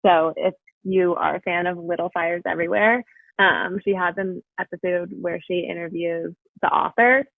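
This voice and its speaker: A woman's voice: fairly low pitch, steady tone, moderate pace, gravelly, no echo. Young woman on a tinny call line. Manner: chatty, full of "like" fillers. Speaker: podcast guest. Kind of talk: casual interview chat. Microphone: video call. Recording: dry, phone-like call audio.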